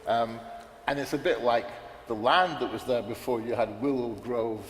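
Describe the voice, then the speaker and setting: A man's voice: medium pitch, moderate pace. Rambling man speaking in an echoey hall. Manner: rambling, many restarts and fillers. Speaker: panelist at a writers' conference. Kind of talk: unscripted panel discussion. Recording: roomy hall reverb, faint background noise.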